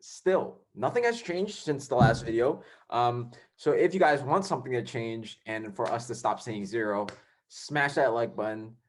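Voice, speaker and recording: A man's voice: medium pitch, expressive, normal speed. A young man hosting a casual podcast, rambling with many fillers and restarts. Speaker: podcast host.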